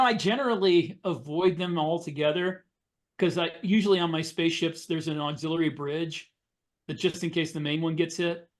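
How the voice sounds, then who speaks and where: A man speaking casually as an author on a podcast panel. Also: fairly high pitch.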